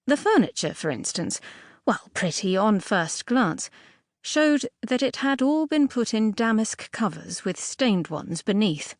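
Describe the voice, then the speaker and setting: A woman's voice: medium pitch, no room sound. Woman narrating a fairy tale, dry studio sound. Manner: expressive, with dramatic emphasis and pauses. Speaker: audiobook narrator. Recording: dry close studio audiobook recording.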